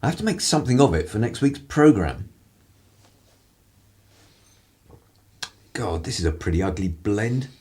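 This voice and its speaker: An actor playing a cynical, irreverent 1930s English broadcaster in a radio play, with a husky voice and sardonic, theatrical turns of phrase. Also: male voice, on the low side, sing-song, brisk, darkish tone. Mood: weary, sardonic.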